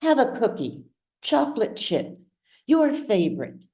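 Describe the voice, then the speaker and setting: A woman reads as a storybook mother, her voice lifted well above an everyday register. She is brisk and bossy or syrupy and coaxing with her little son, with a soft, unhurried delivery. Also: on the high side, very animated, voice pushed up. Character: Alma, brisk mother, cloyingly tender with her small boy.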